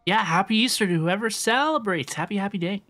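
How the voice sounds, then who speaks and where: A woman's voice: mid-range voice, animated, a little fast, fairly bright tone. A young woman game streamer chatting casually with viewers as she plays, often repeating her phrases. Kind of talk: casual live-stream chat and gameplay commentary. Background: music.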